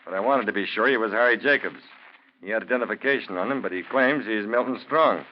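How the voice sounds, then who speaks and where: Actor as wary western sheriff, noisy old recording. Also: male voice, fairly low pitch, fast, lightly acted. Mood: brisk, urgent. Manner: folksy, no-nonsense. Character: wary, practical small-town western sheriff, a man. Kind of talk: 1940s radio drama acting. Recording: dull, noisy vintage radio recording.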